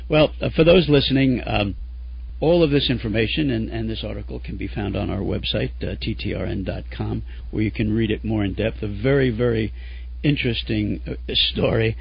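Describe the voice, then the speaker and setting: A male radio host doing an interview, hoarse from fighting a cold, chatty and rambling with lots of fillers. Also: fairly low pitch, a little fast. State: losing his voice from a cold. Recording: dull lo-fi radio audio.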